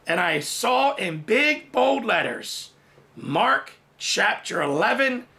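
A man in his forties, raised in Thailand, preaching a sermon in his study and telling a personal testimony with lively hand gestures, recorded on a camera at desk distance. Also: sits high, slow. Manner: animated storytelling with big hand gestures. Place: home study with bookshelves, indoors.

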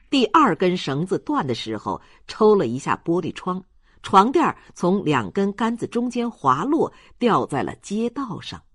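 A woman's voice: deep voice, a bit bright, slightly rough, no echo, clean studio sound. A woman reading a novel aloud as an audiobook narrator in a scripted storytelling style.

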